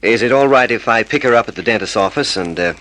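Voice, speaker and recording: An actor playing a scheming con man who poses as a private detective with measured, reassuring talk, in an old-time radio drama. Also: medium pitch, sharp tone, natural voice, poor audio. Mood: reassuring, probing. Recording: vintage radio drama recording.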